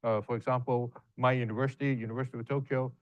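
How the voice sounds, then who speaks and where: Man, professor on panel, halting, fillers, resonant, muffled dry sound. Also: medium pitch, flat delivery, no room sound. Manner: hesitant, many fillers, halting phrasing. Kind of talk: answering audience questions on a panel.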